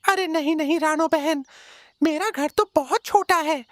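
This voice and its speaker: A woman's voice: high voice, a little slow, no echo, studio quality. A woman voicing an anxious, apologetic male parrot in a cartoon story. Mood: apologetic refusal.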